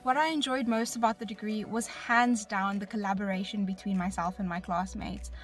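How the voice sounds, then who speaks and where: A woman's voice: mid-range voice, fairly even intonation, brisk. A woman in her twenties with a South African accent, giving a personal testimonial as a recent graduate. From Bloemfontein, South Africa. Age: 20s. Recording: voice over background music. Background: music.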